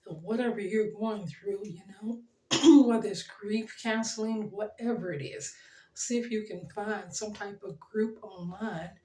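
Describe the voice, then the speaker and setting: A middle-aged woman talking earnestly to her viewers, her voice worn and fading, pausing often. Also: medium pitch, echoey room, rough recording. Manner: earnest, gentle, pauses with ums and soft laughs. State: losing her voice. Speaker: channel host. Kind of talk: heartfelt motivational talk to camera.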